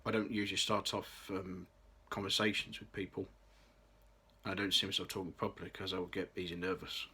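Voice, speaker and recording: Low-voiced young man, bright tone. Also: flat delivery, brisk, slightly rough. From Birmingham, England. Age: late 20s. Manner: careful, read-aloud delivery with occasional restarts. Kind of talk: scripted personal vlog.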